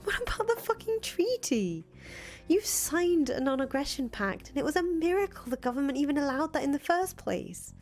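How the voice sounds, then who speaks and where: A woman's voice: high voice, very animated, moderate pace, darkish tone, voice pushed up. A woman voicing an incredulous, sarcastic young woman in a visual novel, exasperated and foul-mouthed. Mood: exasperated, doubting. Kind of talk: visual novel character voice reading. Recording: music playing behind the voice.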